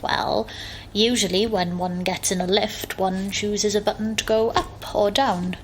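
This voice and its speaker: A woman's voice: medium pitch, fairly even intonation, measured pace, slight background noise, lightly acted, rough recording. A woman acting in an audio drama as an exasperated, sarcastic, sceptical young best friend. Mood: dry. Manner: dry, sarcastic. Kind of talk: audio drama dialogue and first-person narration.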